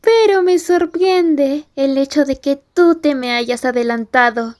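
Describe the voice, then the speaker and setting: A woman's voice: high voice, unhurried, a little mellow. A woman playing a tough, jealous, self-assured schoolgirl bully with a mocking, provocative delivery in an ASMR roleplay. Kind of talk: ASMR roleplay acting in Spanish.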